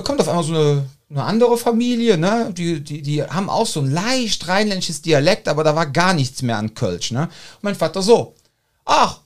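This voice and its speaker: Man, high voice, very lively intonation, casual storyteller, frequent question tags. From Cologne, Germany. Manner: acts out dialogue, frequent tag questions. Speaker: podcast host. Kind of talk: casual chat with animated anecdotes.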